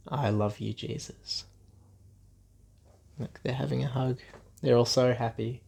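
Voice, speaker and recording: A man's voice: mid-range voice, creaky, a little mellow. A man reading a Bible story aloud for children, expressively, in simple repeated phrases with questions to his young listeners.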